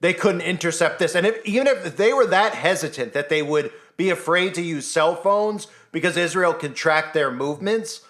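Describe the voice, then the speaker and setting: A man's voice: on the high side, normal speed, hardly any pauses. Man giving heated solo commentary. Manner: animated, rhetorical questions, skeptical. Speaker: commentary channel host. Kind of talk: solo news commentary monologue.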